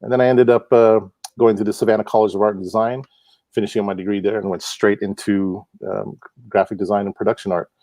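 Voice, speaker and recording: Low-voiced relaxed man from Hawaii. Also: fast, dry recording. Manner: relaxed, laughs often, many fillers. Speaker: guest, comic book artist. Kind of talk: casual interview chat. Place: home.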